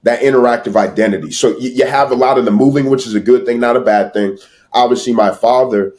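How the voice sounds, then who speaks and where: A man's voice: mid-range voice, fast talker, darkish tone. An American man talking casually as an interview guest, emphatic and repetitive, with slangy asides to the listener. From United States. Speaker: podcast guest, sales entrepreneur. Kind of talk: casual motivational interview talk.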